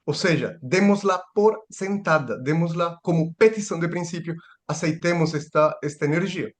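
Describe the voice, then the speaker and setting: A man's voice: on the high side, moderate pace. A man giving a seminar lecture, thinking aloud with restarts and rhetorical questions.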